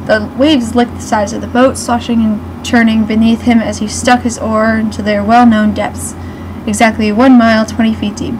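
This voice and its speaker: A woman giving a mocking dramatic reading with snarky asides, halting and stumbling over the words. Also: fairly high pitch, little pitch movement. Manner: sarcastic, halting delivery with frequent stumbles. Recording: noisy home recording with clear background hum.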